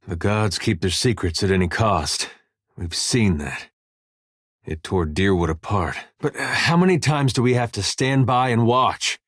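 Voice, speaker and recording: Male actor as wry, weary farmhand soldier for a fantasy game: low drawl, plain-spoken, dry close recording. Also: no echo, close to an everyday voice. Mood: weary, grim. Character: easygoing young-middle-aged farmer-soldier, wry, folksy, weary. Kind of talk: video game companion dialogue.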